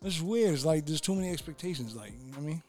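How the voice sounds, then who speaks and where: A man's voice: on the high side, animated, rapid-fire, darkish tone. A man as a podcast guest, chatting casually in slangy speech and often repeating himself. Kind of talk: casual chat. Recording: music under the talk.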